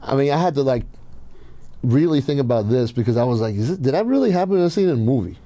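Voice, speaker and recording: A man hosts a casual podcast with a dark, relaxed tone in a very dry acoustic. He is from Iran and tells personal stories bluntly, swearing freely. His pitch sits in an ordinary male range. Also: fast talker, dry recording. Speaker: podcast host.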